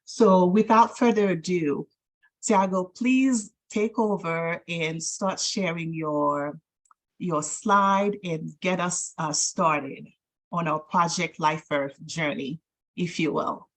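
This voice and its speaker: A woman's voice: lowish voice, slow. A woman hosting an online webinar, introducing the guest speaker in a friendly, slightly hesitant way. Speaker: webinar host. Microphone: video call.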